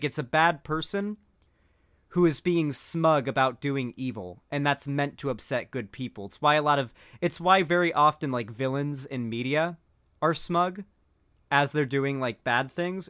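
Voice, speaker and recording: A man's voice: fairly high pitch, fairly bright tone, clean tone, no echo, clean studio sound. A man giving casual political commentary while stoned, rambling with lots of fillers and restarts.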